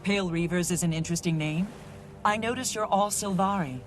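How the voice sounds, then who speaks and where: A woman playing a confident, level-headed heroic commander in a video game, assured and measured. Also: lowish voice, close to an everyday voice. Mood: interested. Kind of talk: video game cutscene acting. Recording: loud game music behind.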